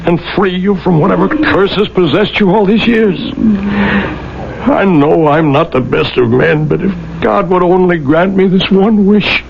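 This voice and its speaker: An actor playing a gentle, devoted merchant husband, sorrowful and earnest, in a 1970s radio drama. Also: male voice, a little high, creaky. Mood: grieving, pleading. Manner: earnest, emotional, pleading. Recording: dull, noisy old radio recording.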